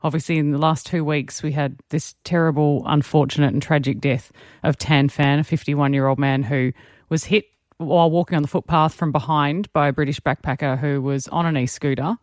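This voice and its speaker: A woman's voice: low-pitched, fairly even intonation, a little fast, darkish tone, no echo, studio quality. A woman with an Australian accent co-hosting a radio talk show and discussing things in conversation. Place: radio studio. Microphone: close studio microphone.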